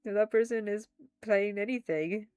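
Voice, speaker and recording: A woman's voice: medium pitch, moderate pace, a little mellow, clean tone, no echo. A young woman streaming live, talking casually and rambling with frequent restarts and giggles, a little congested.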